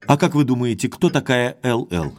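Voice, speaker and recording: Man playing an animated young gentleman heir. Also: medium pitch, moderate pace, lightly acted. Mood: questioning. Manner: questioning, courteous, authoritative. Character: earnest young gentleman heir, direct and inquisitive. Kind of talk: audio drama acting.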